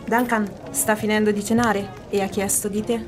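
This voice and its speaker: A woman's voice: mid-range voice, little pitch movement, moderate pace, over music, natural voice. An actress playing an ambitious, scheming, commanding noblewoman in an Italian radio drama, theatrical and formal. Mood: scornful, goading.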